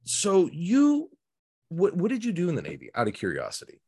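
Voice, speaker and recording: A man's voice: sits high, huge pitch swings, normal speed, creaky, mellow, slightly rough. A man hosting a podcast in casual interview chat, with polite, joking banter. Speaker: podcast host.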